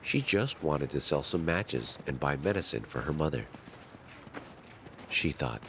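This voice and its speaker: A man narrating a fairy tale in a measured, dramatic storytelling manner. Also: a bass voice, expressive, a little hiss or hum.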